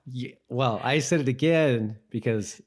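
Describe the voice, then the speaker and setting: A man's voice: medium pitch, unhurried, a little mellow. Easygoing American man, podcast co-host. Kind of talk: casual TV rewatch podcast chat.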